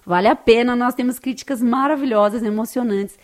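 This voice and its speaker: A woman's voice: a little high, a little fast, darkish tone. Enthusiastic Brazilian woman guest. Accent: Brazilian Portuguese. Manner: earnest, enthusiastic, tag questions. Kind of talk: interview answers.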